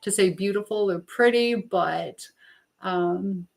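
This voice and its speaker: A woman talks informally to her live-stream audience while working in her kitchen. Her delivery is chatty and meandering, drifting between what she is making and the people in the chat. Her voice sits at an ordinary middle pitch for a woman. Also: takes it slowly, vocal fry. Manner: chatty, meandering, greets viewers by name. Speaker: livestream host. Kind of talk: casual live-stream chat while cooking, reading out comments.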